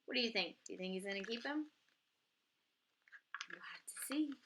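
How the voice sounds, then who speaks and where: A woman reading a picture book aloud to young children like a teacher, expressively, pausing to ask simple questions. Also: medium pitch, animated, background noise.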